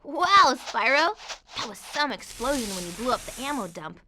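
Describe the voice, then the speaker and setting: A woman playing a perky, friendly young female faun who narrates video game cutscenes in an expressive storybook way. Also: sits high, moderate pace, a little hiss or hum, full character voice, low-quality recording. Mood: excited congratulating, then wry, chatty. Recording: game music under the voice.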